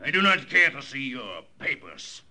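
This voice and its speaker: Sharp, menacing German farmer. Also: male voice, medium pitch, sing-song, moderate pace. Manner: barking, stilted formal English. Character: menacing German farmer holding captives at gunpoint. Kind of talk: 1950s radio drama acting.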